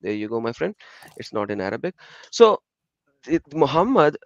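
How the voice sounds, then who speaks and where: A man's voice: medium pitch, very animated, moderate pace, dry recording. A man whose first language is Urdu, a guest in an online discussion, reading passages aloud and explaining terms as he goes. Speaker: guest panelist. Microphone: video call. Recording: dull, dry online call audio.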